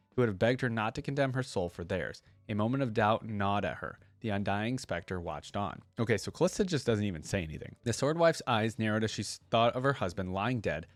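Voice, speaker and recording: Low-voiced man reacting and reading aloud. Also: no room sound. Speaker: reaction video host. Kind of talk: casual reaction commentary and story read-aloud.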